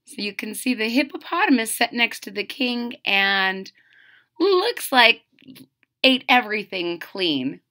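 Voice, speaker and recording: A middle-aged woman reading a children's picture book aloud with deliberate, theatrical phrasing. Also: a little high, very animated, bright, forceful tone. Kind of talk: expressive children's read-aloud. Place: small room at home.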